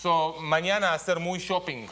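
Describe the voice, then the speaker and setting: An Israeli-accented man in his thirties, a native Hebrew speaker, performing as a guest mentalist with halting, broken, repetitive patter. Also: on the high side, steady tone. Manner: halting, broken speech with repeated words. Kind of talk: unscripted stage patter on a talk show. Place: television studio. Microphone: lapel mic. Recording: faint background studio noise.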